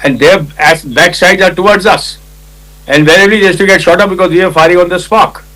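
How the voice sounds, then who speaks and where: An older Indian man, a retired army officer, recounting a battle in conversational detail, with a loud commanding voice, acting out quotes and often clearing his throat. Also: high-pitched, fast, poor audio. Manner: loud commanding voice, vivid retelling with acted quotes. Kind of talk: conversational war storytelling.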